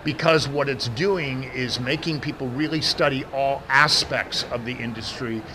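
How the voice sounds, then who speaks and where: Middle-aged American man, big voice, guest at an outdoor event interview, traffic behind, mid pitch. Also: normal speed. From United States. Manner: says he has a big voice, restarts often. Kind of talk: casual interview answers. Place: outdoor event media wall. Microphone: handheld mic held by interviewer.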